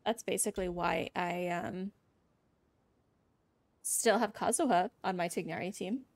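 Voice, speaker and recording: A woman's voice: on the low side, a little slow, gravelly. A woman livestreaming a video game, giving casual, chatty commentary with playful reactions to what happens on screen. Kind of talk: casual live gameplay commentary.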